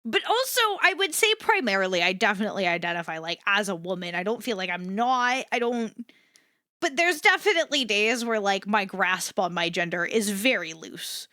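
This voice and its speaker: A woman livestreamer chatting casually and candidly to her viewers, swearing freely and repeating herself for emphasis, in a versatile but slightly rough voice. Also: very animated, moderate pace, sharp tone, no echo, clean studio sound. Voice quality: versatile voice, swinging between low and high. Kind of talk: casual rambling chat to an audience.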